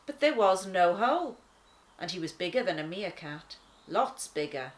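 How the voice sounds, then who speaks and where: A woman reading a children's story as a laid-back talking lion, conversational and wry, with dramatic pauses. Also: on the low side, very animated. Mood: chatty. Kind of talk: first-person children's storybook narration.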